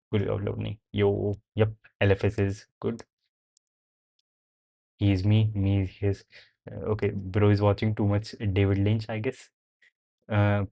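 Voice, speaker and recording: A young man with a native Hindi background talks on a live stream in a slightly low voice. He thinks out loud and answers viewer questions in a loose, casual way, dropping the occasional swear.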